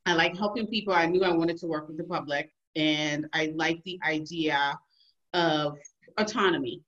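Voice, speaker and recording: A woman's voice: low-pitched, moderate pace, lo-fi sound. A woman from Liberia telling stories casually and animatedly as a guest in an interview. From Liberia, West Africa. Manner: animated, laughs often while telling stories. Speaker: podcast guest, emergency physician.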